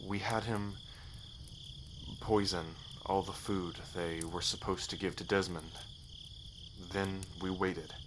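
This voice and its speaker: A loyal, hot-headed male rebel, played by a man in an ASMR roleplay. His voice is a little low, earnest and emphatic, protective of his people and bent on payback. Clear background ambience with insects surrounds it. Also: steady tone, slow, noisy background. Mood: relieved, earnest.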